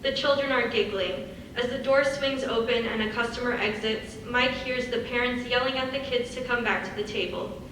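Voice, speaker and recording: A woman's voice: a little high, moderate pace, fairly bright tone. A woman reading stage directions as scripted narration. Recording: echoey hall, far from mic, faint noise.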